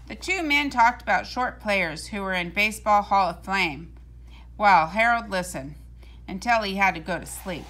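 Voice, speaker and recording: A woman reading a children's storybook aloud in a deliberate, expressive storytelling manner. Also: mid-range voice.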